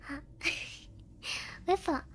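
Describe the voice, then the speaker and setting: A woman's voice: drawn-out words, fairly bright tone. A woman with a girlish, cutesy voice hosting a playful ASMR horror roleplay, mixing Japanese words into her English. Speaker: VTuber host of an ASMR horror-story audio. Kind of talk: playful scripted ASMR roleplay with casual chat.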